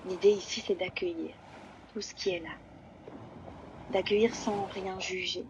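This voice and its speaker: Female yoga nidra guide, low-leaning flat intonation, slow and spacious phrasing, prominent surf noise. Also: muffled recording. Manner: slow, unhurried, long pauses between phrases. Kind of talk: guided relaxation meditation. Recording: loud steady ocean-wave noise behind voice. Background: sound of sea waves.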